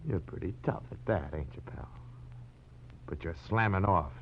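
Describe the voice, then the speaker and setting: An actor playing a cold, taunting American gangster killer in a 1940s radio crime drama, slangy and sadistic. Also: male voice, deep voice, slight background noise, close to an everyday voice. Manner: taunting, slangy, unhurried menace. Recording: dull, muffled vintage 1940s radio recording.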